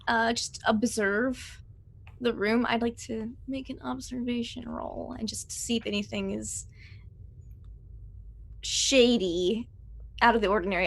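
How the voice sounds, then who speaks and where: A woman's voice: on the high side, creaky, fairly bright tone. A woman chatting casually as a player in a tabletop roleplaying game.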